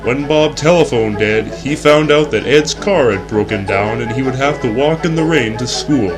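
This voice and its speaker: A man's voice: mid-range voice, moderate pace, music in the background, low-quality recording. A young man reading scripted narration in the earnest, formal announcer style of a 1960s educational film. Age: young adult.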